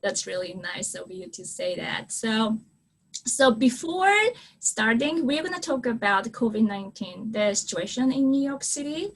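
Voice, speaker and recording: A woman's voice: fairly high pitch, lively intonation, measured pace. A woman hosting a casual chat, bubbly and effusive in her talk. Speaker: host of an English-learning channel. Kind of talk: casual video-call chat.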